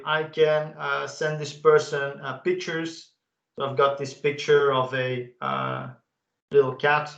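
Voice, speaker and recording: Man, gravelly voice, casual software walkthrough, roomy echo. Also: mid-range voice. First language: Dutch. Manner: casual, enthusiastic, frequent "uh" fillers. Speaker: webinar presenter. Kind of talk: live software demo walkthrough.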